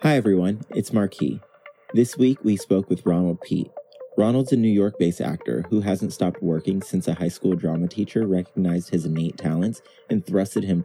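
A man's voice: low voice, warm tone. A man hosting a podcast, reading a scripted introduction in a playful, enthusiastic way. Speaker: podcast host.

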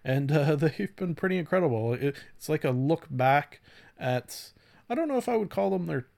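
A man hosting a solo podcast in a casual, rambling way, halting often, swearing lightly and putting himself down. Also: fairly high pitch, animated, vocal fry, a little mellow. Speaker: podcast host.

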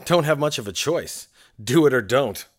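Weary, skeptical scriptwriter, swinging pitch played by an actor. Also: male voice, expressive, moderate pace, lightly acted. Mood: grudging. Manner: weary, dry, sardonic. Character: tired, skeptical, grumbling family-man radio scriptwriter. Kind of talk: period audio drama dialogue.

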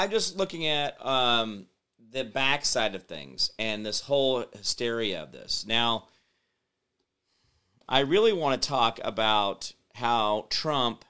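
A male show host talking off the cuff in a bright, crisp voice. He rambles scornfully, drops sentences halfway and starts over, and stops to read viewer comments aloud and answer them. He trips over names and repeats them until he gets them right. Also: mid-range voice. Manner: rambling and scornful, trails off and restarts. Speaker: host of an online political show. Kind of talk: unscripted political commentary monologue.

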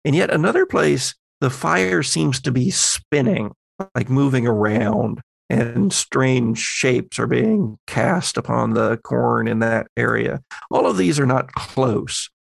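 A man's voice: mid-range voice, expressive, slow, gravelly, breathy edge, no echo. A man narrating an improvised horror tabletop game as game master.

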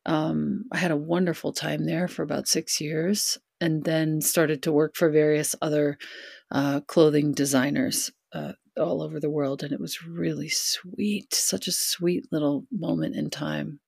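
Soft-spoken middle-aged woman from new york, low gravelly voice, headset mic. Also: dry recording, studio quality. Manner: soft, calm and unhurried. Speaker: guest, yoga teacher. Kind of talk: casual conversation.

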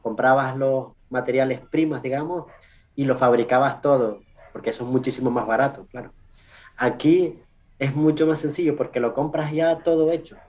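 A man with a Canarian Spanish accent giving casual interview answers as a research scientist, in an informal, halting way with self-corrections and tag questions. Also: medium pitch, steady tone, darkish tone. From Canary Islands, Spain. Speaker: research scientist, podcast guest.

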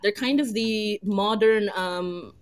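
A woman's voice: medium pitch, slow pace, clear, resonant tone. A woman hosting a casual group chat on a live podcast, talking in a giggly, enthusiastic manner. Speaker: podcast host and creator.

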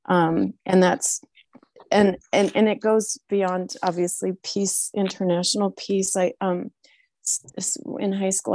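A woman introducing her interests to a group meeting, halting often with fillers and restarts. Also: fairly low pitch, darkish tone, no echo. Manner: hesitant, many fillers and restarts. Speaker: meeting participant. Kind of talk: casual video-meeting introduction.

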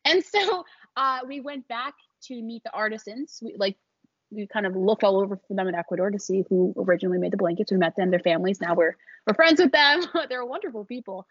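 A woman's voice: mid-range voice, expressive, brisk, husky, no echo, highs cut off. A young adult woman, a small business founder, talking casually as an interview guest, chatty and laughing often with many fillers.

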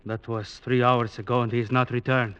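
An actor playing a sober village magistrate in a 1950s radio drama, speaking in a measured, formal way. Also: male voice, medium pitch, flat delivery, a bit bright, clean tone, natural voice. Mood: solemn. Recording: dull vintage 1950s radio recording.

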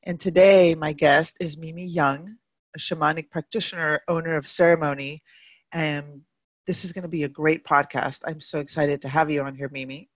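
A woman's voice: sits low, fairly even intonation, normal speed, no echo. A woman hosting a podcast, chatty and enthusiastic, using casual slang. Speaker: podcast host.

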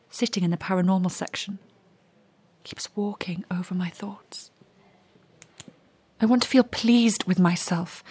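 An actress playing a restless, curious young woman in an audio drama monologue, confiding and wry, with a hushed, husky voice. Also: medium pitch, dry recording, lightly acted.

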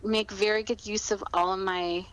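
A woman's voice: mid-range voice, fairly even intonation, moderate pace, a bit bright, clear, resonant tone. A middle-aged woman chatting casually as an interview guest, often repeating her words as she goes. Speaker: podcast guest, author.

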